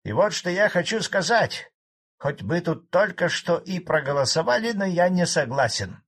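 A cranky old farmhand, as a male reader puts him on, ranting gruffly in a raised, husky voice. He grumbles defiantly and louder than the storyteller around him. A bitter, stubborn old man in an audiobook. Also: sits high, sing-song, moderate pace, pitched above the performer's own voice, heavily acted. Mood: grumbling. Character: grumpy old male collective farmer, spiteful.

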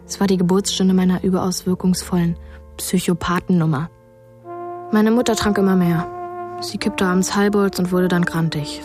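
A woman's voice: on the low side, lightly acted. A woman narrating a son's sober childhood memories in a radio drama, restrained and matter-of-fact. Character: James Ellroy's childhood self, soberly recalling his parents.

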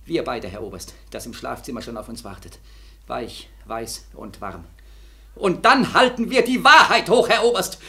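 A feverish, haunted war veteran. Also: male voice, medium pitch, very animated, normal speed, bright, forceful tone, slightly rough, heavily acted. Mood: bitterly sardonic. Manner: feverish, sardonic, repetitive, swinging from weary murmur to anguished cries. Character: bitter, sleepless, haunted young returning war veteran. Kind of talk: 1940s German radio drama acting.

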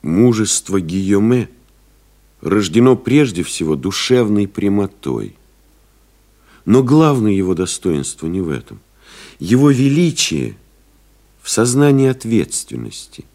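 An actor playing a reflective pilot-writer, narrating memories in a measured, solemn, literary radio-play style. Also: male voice, lowish voice, big pitch swings, warm tone. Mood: solemn, reverent. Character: reflective male pilot-writer recalling friends and desert flights.

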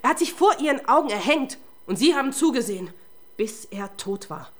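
A woman's voice: fairly high pitch, very animated, a little fast. A woman playing a tough, probing police inspector in a crime radio play, speaking in a pointed and controlled way. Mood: accusing, insistent. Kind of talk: German crime radio drama acting.

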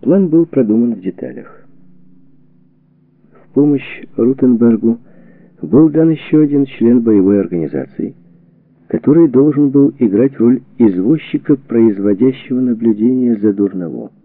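A man reading a historical book as a scripted audiobook narration, in a measured, deliberate way with weighted pauses, on a narrow lo-fi recording. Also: mid-range voice, no room sound. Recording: narrow phone-like band, lo-fi audio.

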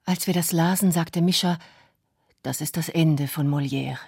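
A woman's voice: sits low, a little slow, vocal fry, darkish tone, breathy edge, no echo, studio quality, full character voice. A woman playing a writer's wife, reading from her diary in a radio documentary. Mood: sober recollection. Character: Bulgakow's wife reading from her diary, a quiet, intimate witness.

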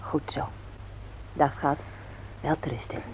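A woman's voice: sits low, fairly even intonation, slow, darkish tone, a little hiss or hum, poor audio. An actress playing a playful but earnest, devout wife and mother in a naturalistic radio drama. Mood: tender. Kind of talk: naturalistic Dutch radio play acting.

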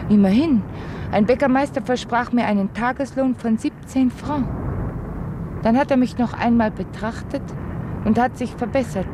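An actress playing a wistful, dreamy and fragile young woman in a German radio drama, musing intimately and haltingly. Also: a little high, noisy background, natural voice. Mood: wry, fragile.

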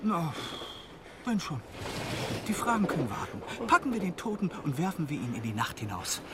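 Bossy callous lady on a train, radio drama, super swoopy voice, loud rattling noise behind. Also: low voice, very animated, moderate pace. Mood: tense, brisk. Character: brisk, callous woman passenger ridding a night train of the dead. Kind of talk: radio play acting. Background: moving train noise.

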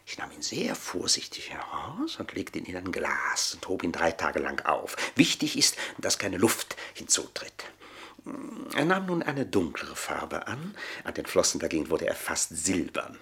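An actor in a German radio play playing a scheming, gloating manservant with a pompous, mock-deferential, savouring delivery. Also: male voice, sits low, sing-song, a little slow, gravelly, sharp tone, whispered, heavily acted.

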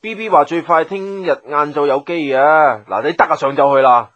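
A man's voice: a little high, moderate pace, no echo, highs cut off, lightly acted. An actor playing a chatty, breezy, slightly exasperated male boss in a radio drama, with a Hong Kong Cantonese accent. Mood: harried, friendly. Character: brisk, chatty, fussing male office boss.

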